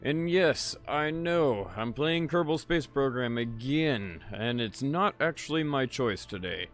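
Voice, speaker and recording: A laid-back male gamer streaming live, his voice tired and a touch raspy at a medium pitch. He chats loosely with viewers and curses freely, with faint music playing underneath. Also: lively intonation, unhurried. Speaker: gaming livestreamer. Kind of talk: casual live gameplay chat.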